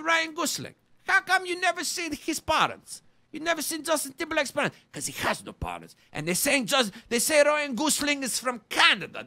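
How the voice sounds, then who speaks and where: This is a comic conspiracy rant in broken English, full of wild leaps between shouting and muttering. The voice is bright and forceful with a gravelly rasp. The pitch is very lively throughout.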